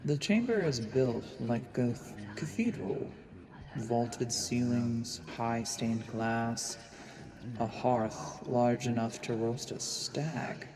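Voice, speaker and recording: A man playing a watchful, brooding assassin posing as a noble, narrating deliberately with dramatic pauses in a fantasy audio drama. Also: mid-range voice, crowd noise, natural voice. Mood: tense, awed. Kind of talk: dramatic first-person scripted narration. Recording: long reverb, music underneath.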